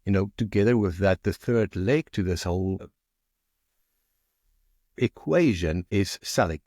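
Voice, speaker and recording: Interview guest: man, South African accent, deep, halting and repetitive, dry studio sound. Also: animated, dark tone, dry recording. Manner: repeats and restarts words often, thinking aloud. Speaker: marketing agency founder, guest. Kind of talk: casual interview talk.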